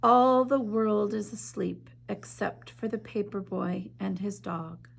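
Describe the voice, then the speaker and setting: A woman teacher reads a picture book aloud at an unhurried pace, softening her voice at quiet moments. Her mid-range voice carries a noticeable vocal fry. When she turns to the illustrations, she becomes chatty and eager. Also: medium pitch, fairly even intonation. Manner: gentle, measured reading with soft drops in volume; enthusiastic, chatty when discussing the art. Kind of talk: slow storybook read-aloud, then art discussion.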